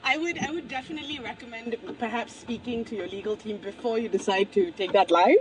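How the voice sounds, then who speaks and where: A woman's voice: fairly high pitch, quick pace, crowd noise. A woman conducting a casual phone interview in an informal, bantering way. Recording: very noisy phone interview recording.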